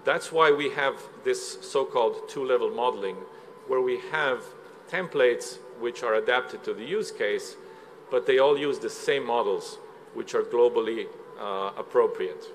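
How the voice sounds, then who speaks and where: A man's voice: mid-range voice, slight background noise, low-quality recording. Slovenian man giving a conference talk. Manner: measured, explanatory, with frequent "uh" fillers. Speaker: conference speaker. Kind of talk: conference presentation. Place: conference hall.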